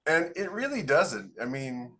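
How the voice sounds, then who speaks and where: A man's voice: on the high side, big pitch swings, measured pace, bright, forceful tone. Man chatting casually on a podcast. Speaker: podcast co-host, author. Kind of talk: casual panel discussion.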